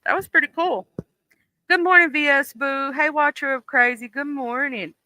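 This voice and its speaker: Bright-voiced livestream host reading scary stories. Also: high voice, moderate pace, no echo. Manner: even, steady story narration, chatty with fillers and restarts. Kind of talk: horror story reading, then casual chat.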